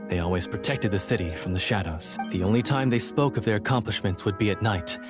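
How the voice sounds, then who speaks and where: An actor playing an earnest, theatrical and repentant monster hunter in a fantasy video game, with a solemn, formal manner. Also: male voice, lowish voice, brisk, music in the background, close to an everyday voice.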